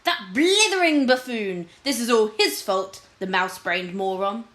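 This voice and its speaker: A woman's voice: expressive, measured pace, fairly bright tone. A woman voicing an irritable, nervous lord with a muttering, sneering manner in a storybook reading. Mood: irritated. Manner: muttering insults, growling, shrill when frightened. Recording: echoey room.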